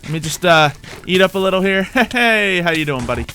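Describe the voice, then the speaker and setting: A man commentating on his own video game play, thinking aloud in an animated way with playful exclamations. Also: sits high, a little fast. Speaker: gaming YouTuber playing a Minecraft roleplay series. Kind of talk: gameplay commentary. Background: background music.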